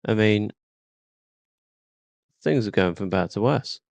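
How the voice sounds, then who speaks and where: A man's voice: on the low side, lively intonation, takes it slowly, gravelly, darkish tone, no room sound. A man casually commenting while streaming a game, wry and self-deprecating.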